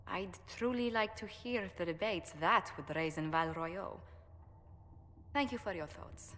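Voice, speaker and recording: An actress playing a poised, courteous diplomatic adviser in a video game, with a formal and tactful manner. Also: female voice, on the low side, unhurried, fairly bright tone. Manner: formal, polite. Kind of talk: dramatic video game acting. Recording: long hall reverb, faint noise.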